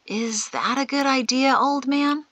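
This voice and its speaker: A woman's voice: high-pitched, measured pace, fairly bright tone, no echo, pitched above the performer's own voice. A woman voicing a bitter, hot-tempered young man in chains, agitated and sarcastic, as in an audiobook. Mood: urgent, sardonic. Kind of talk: audiobook character acting.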